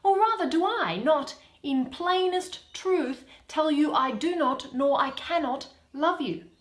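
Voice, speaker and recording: A woman plays a scornful young Athenian man in a stage classic, spurning whoever follows him. The delivery is declamatory and high, with big swoops of pitch, in a roomy, echoing space. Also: animated, takes it slowly. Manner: emphatic, declamatory. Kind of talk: dramatic Shakespeare reading.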